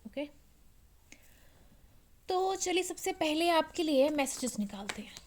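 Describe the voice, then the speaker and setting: A woman's voice: high-pitched, expressive, unhurried, slight background noise. A woman with an Indian accent and a slightly sore throat, giving a casual card reading to her viewers and mixing in English phrases. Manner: mixes Hindi with English phrases. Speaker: tarot reader and channel host.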